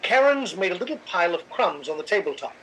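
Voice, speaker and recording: A man's voice: high-pitched, very animated, quick pace, fairly bright tone, slight background noise, low-quality recording. A man playing a suave, detached theatre critic who narrates with irony in a 1950s radio drama style. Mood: cool, observant.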